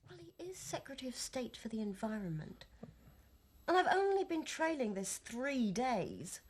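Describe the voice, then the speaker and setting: A woman playing a sharp-tongued, determined TV journalist in a 1990s British video drama. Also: on the high side, sing-song, normal speed, slightly rough. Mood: exasperated. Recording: film soundtrack with faint background noise.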